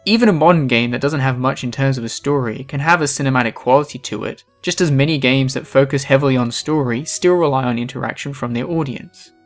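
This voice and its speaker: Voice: medium pitch, quick pace, hardly any pauses, soft background music. A video essay host giving scripted, opinionated commentary with an emphatic delivery.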